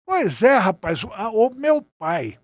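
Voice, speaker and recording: A man's voice: high-pitched, sing-song, slow pace, dry recording. A man, telling anecdotes conversationally as a podcast guest. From Rio de Janeiro, Brazil. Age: 70s. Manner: conversational storytelling, often checks in with "né". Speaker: podcast guest, journalist and writer. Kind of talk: casual interview, reminiscing anecdotes.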